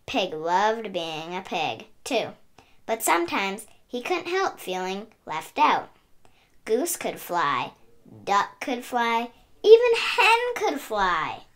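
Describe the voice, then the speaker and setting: A woman's voice: medium pitch, huge pitch swings, slow, a bit bright. A woman hosting a children's read-aloud, narrating expressively in a sing-song, emphatic way. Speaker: story reader and channel host.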